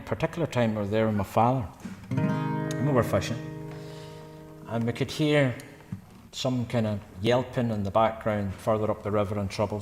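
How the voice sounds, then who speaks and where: A man in his fifties with a Scottish accent from Paisley, chatting casually between songs at a concert and telling stories in a brisk, rambling way. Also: on the low side. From Scotland. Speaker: singer-songwriter performing a concert. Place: church. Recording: long church reverb with guitar.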